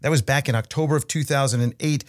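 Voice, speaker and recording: A man's voice: mid-range voice, fairly even intonation, quick pace, a little hiss or hum. A man hosting a long-running interview podcast, talking in a friendly, rambling way with many restarts. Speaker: podcast host.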